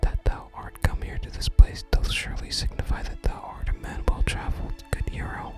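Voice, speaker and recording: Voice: normal speed, faint music behind, lightly acted. An ASMR gamer voicing a wistful wandering male bard from a fantasy game, whispering his florid, archaic lines. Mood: courteous. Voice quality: unvoiced whisper. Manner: archaic, theatrical phrasing.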